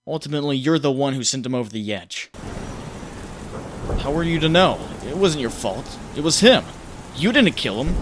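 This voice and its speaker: A man's voice: medium pitch, a little hiss or hum, natural voice. A man playing a young hero's brooding, guilt-ridden inner voice in a comic dub, sardonic and intense in second-person narration. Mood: anguished. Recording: long cavernous reverb.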